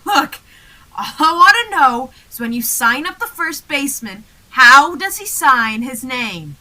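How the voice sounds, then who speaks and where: A woman's voice: high-pitched, big pitch swings, measured pace, pushed and bright, exaggerated voice. A young woman in a student radio play, playing a confused, exasperated man in a comedy double act with insistent questions. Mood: increasingly frustrated. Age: young adult. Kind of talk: comic double-act stage patter.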